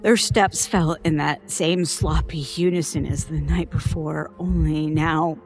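Haunted, halting woman. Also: sits low, steady tone, a little mellow. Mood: frightened, desolate. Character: frightened woman recounting a childhood horror. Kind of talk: audio horror drama monologue. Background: music.

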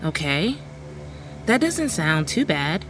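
A woman's voice: low voice, sing-song, takes it slowly, music in the background, exaggerated voice. A young woman playing a mild, hesitant college student with dry wit in an audio horror drama. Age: young adult. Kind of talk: radio horror drama acting.

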